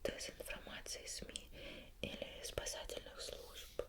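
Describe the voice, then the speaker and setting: A woman doing an ASMR roleplay as an interviewer, putting questions slowly and gently in a formal, deliberate way with pauses.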